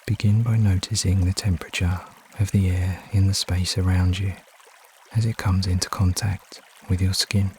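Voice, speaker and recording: Male meditation guide, deep register, soothing and unhurried with frequent pauses, trickling water behind. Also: a little hiss or hum. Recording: steady water ambience behind voice. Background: trickling stream water sounds.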